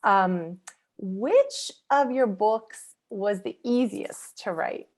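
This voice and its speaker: A woman's voice: mid-range voice, extremely slow, a little mellow. A woman hosting a casual interview, speaking in simple, kid-friendly phrasing. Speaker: interviewer, host of a children's channel.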